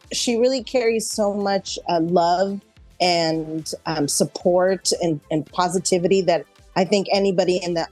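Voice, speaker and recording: A woman's voice: on the low side, darkish tone. A middle-aged woman actor chatting casually in an interview, speaking in a reflective, conversational way.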